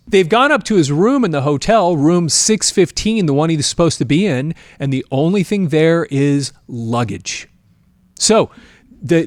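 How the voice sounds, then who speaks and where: A man's voice: a little high, dry recording, clean studio sound. A man hosting a show and telling a story casually to his audience, acting out bits of dialogue as he goes. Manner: animated retelling, voicing quoted dialogue. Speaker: host and storyteller.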